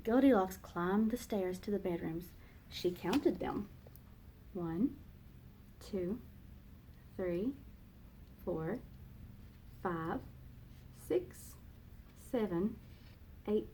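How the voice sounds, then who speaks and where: A woman teacher reading a children's picture book aloud, slow and expressive for young listeners. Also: mid-range voice, slight background noise, low-quality recording.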